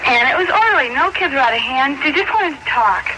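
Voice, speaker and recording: High American woman's voice on noisy tape. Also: animated, fairly quick. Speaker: eyewitness. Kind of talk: spontaneous spoken account. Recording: very noisy, dull old radio tape.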